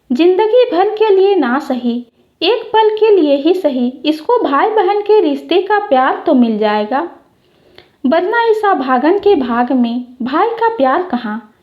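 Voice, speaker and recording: Woman acting a humble housemaid, high-voiced. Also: lo-fi sound, exaggerated voice. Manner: deferential, pleading. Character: humble, apologetic housemaid, a poor devoted mother.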